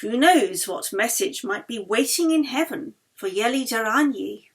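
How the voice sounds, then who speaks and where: A woman narrator voicing a teasing, demanding gentleman host in an audiobook, in a declamatory manner. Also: on the high side, animated, a little slow, husky, full character voice. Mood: teasing, dramatic.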